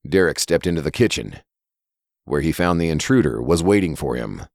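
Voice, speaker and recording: A man's voice: very deep voice, very animated, a little fast, creaky, darkish tone, no room sound, clean studio sound. A man reading a scripted horror story as its narrator.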